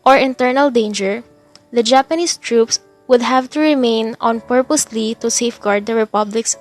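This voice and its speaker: A young woman with a fairly high voice reads a school report with steady, careful delivery. Light music sits softly underneath. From Philippines. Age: young adult. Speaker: student presenter. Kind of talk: reading a class report aloud. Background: faint background music.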